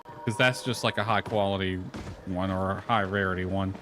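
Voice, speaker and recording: A man's voice: low-pitched, fairly even intonation, normal speed, music in the background. A man thinking aloud in casual live game commentary, muttering to himself with mild exasperation. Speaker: game streamer. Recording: clearly noisy background.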